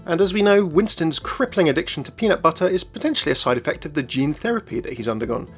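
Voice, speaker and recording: A man's voice: fairly high pitch, animated, quick pace. A man hosting a gaming channel, delivering scripted news commentary. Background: music.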